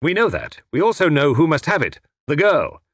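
Storybook villain, male reader in role: educated foreign spymaster, smug, courteous, menacing, husky with swooping pitch. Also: huge pitch swings, moderate pace, fairly bright tone, dry recording, studio quality, exaggerated voice. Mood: impatient, threatening. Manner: mockingly polite, formal, snarling when crossed. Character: suave, menacing well-educated foreign spy posing as inspector.

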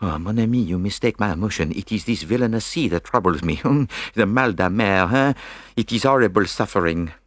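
A man voicing a fussy, confident little detective with a French accent, speaking in a halting, theatrical way for an audiobook story. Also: fairly low pitch, no room sound, clean studio sound, lightly acted. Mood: seasick, suffering.

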